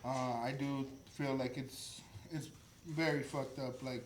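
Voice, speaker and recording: An echoey room with faint noise frames a man in his early twenties. He hosts a casual podcast at an average male pitch, speaking informally and hesitantly, with some swearing. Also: fairly even intonation, takes it slowly. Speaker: podcast host. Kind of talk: casual group podcast chat.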